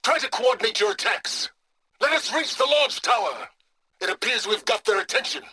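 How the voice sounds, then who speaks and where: An actor playing a cold, calculating, aloof scholar in a video game, speaking formally with measured, condescending, sardonic phrasing. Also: male voice, extremely high pitch, animated, bright, forceful tone, slightly rough, heavily acted. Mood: urgent combat shouting. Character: cold, calculating, aloof male scholar, middle-aged or older. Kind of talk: scripted video game voice acting.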